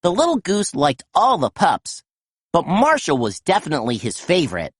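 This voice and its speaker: A woman narrating a children's story as an animated read-aloud storyteller. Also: sits low, normal speed, husky, no room sound, clean studio sound.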